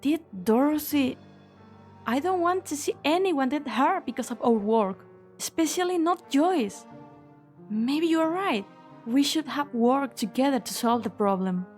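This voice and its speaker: A woman's voice: high voice, lively intonation, unhurried, darkish tone. A woman voicing an anxious, conscientious young doctor in a game drama fan dub, earnest and concerned. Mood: troubled. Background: background music.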